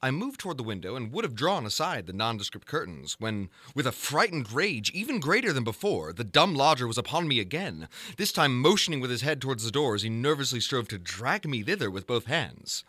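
A man narrating a gothic horror story in a formal, measured, theatrical manner, as in a dramatic audiobook. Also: medium pitch, very animated, sharp tone, dry recording.

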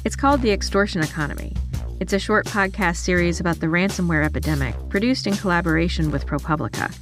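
A woman's voice: fairly low pitch, fast, over music. A woman podcast host delivering a scripted introduction.

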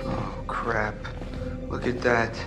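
A man's voice: medium pitch, flat delivery, takes it slowly, creaky, over loud music. A man playing a level-headed young American man in a horror game, reasoning earnestly. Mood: strained. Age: young adult. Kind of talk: horror video game voice acting.

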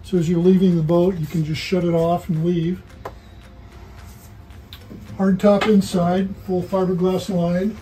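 A man's voice: high voice, little pitch movement, unhurried, long pauses, warm tone, soft background music. A man, giving a matter-of-fact walkthrough tour of a boat in an indoor showroom. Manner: matter-of-fact, listing features.

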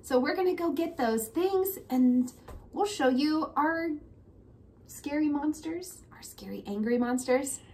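A woman's voice: high voice, animated, unhurried, mellow. A woman in her thirties hosting a casual lesson for young children, encouraging them and prompting with questions. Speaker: children's read-aloud host. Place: small room at home, indoors. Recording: noisy room ambience.

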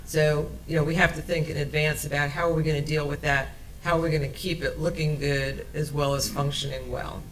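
A woman's voice: low-pitched, level tone, a little fast, few pauses, slight background noise, poor audio. A woman in her sixties giving a conference presentation at a podium in a hotel meeting room, talking conversationally with frequent fillers and restarts. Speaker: transit project presenter. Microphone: podium microphone.

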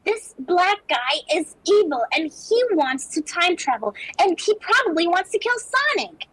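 Actress for a little game fox sidekick: extremely high, animated, pleading, comic fan dub. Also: female voice, normal speed, full character voice, low-quality recording. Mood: exasperated, pleading. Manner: flustered, pleading, hesitant. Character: small, exasperated, nerdy young fox sidekick. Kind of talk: improvised comedy fan dub.

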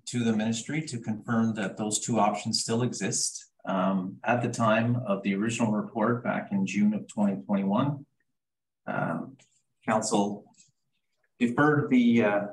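Brief: canadian municipal officer, lowish voice, formal report reading, roomy audio. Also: male voice, a little slow, slight background noise. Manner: formal, procedural, reads from a report. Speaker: chief administrative officer presenting reports.

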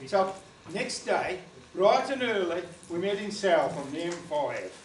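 A man's voice: sits high, sing-song, a little slow, a little hiss or hum. An older man telling a chatty, rambling comic story to a small folk club, with lots of asides. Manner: gestures broadly while standing. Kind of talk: comic spoken-word tale. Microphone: far camera. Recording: roomy, distant camera audio.

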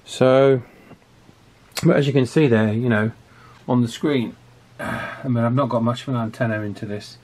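A middle-aged man with a mid-pitched voice talks through hands-on technical work in an informal way. He speaks hesitantly, thinking aloud, in the manner of a repair technician presenting from the bench. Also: steady tone, moderate pace, darkish tone. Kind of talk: informal hands-on workbench commentary. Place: small indoor workshop, at the bench.

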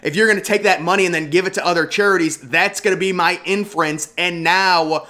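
Bright-voiced young man ranting indignantly. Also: sits high, fairly even intonation. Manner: indignant, rapid ranting with frequent restarts. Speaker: political commentary vlogger. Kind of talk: opinionated screen-share commentary.

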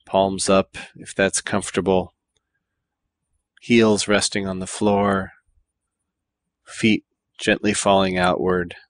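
Low-voiced man guiding meditation. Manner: calm, measured, deliberate phrasing with pauses. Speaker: meditation guide. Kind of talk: guided body scan meditation.

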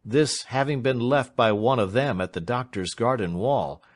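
A man's voice: medium pitch, normal speed, voice pushed up, lightly acted. A man voicing a shrewd, whimsical older lawyer with a dry, emphatic manner in a storybook reading. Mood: grave, pointed. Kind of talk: dramatised reading of classic fiction.

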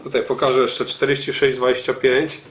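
A man's voice: mid-range voice, little pitch movement, a little fast, heavy background noise. A man in his thirties talking casually and choppily as a pigeon breeder, often tagging his phrases with a question. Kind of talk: casual talk presenting birds. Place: indoor room at a table. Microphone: camera mic a few steps away. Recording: roomy echo, birds cooing.

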